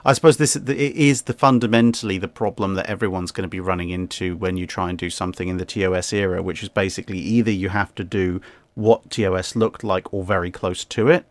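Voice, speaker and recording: Low-pitched man in casual, analytical discussion. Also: fairly even intonation, no room sound. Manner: measured, analytical, opinionated. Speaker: guest commentator.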